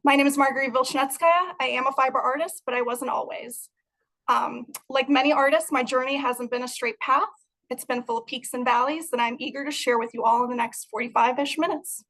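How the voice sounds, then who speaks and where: A woman's voice: high-pitched, steady tone, brisk, fairly bright tone, low-quality recording. A young adult woman from Moldova, giving an earnest, prepared talk about her art over a video call. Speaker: guest artist.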